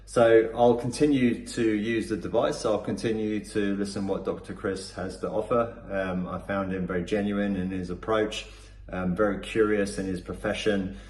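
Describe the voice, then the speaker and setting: A man's voice: lowish voice, steady tone, moderate pace, darkish tone. A man from Cornwall with a Cornish accent, giving an unscripted customer testimonial, hesitant with many fillers and restarts. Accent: Cornish English. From Cornwall, England. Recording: echoey room with faint background noise.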